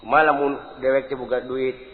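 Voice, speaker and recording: A man's voice: mid-range voice, little pitch movement, brisk, in a large hall, close to an everyday voice. A man playing a brash, teasing village joker in Sundanese folk comedy, with a West Javanese accent and earthy, blunt banter. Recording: muffled live recording, noisy crowd.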